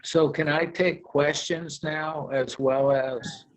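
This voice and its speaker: A man presenting at a virtual conference and answering questions, halting with many fillers. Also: on the high side, fairly even intonation, rough recording. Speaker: presenter, product seller. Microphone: video call.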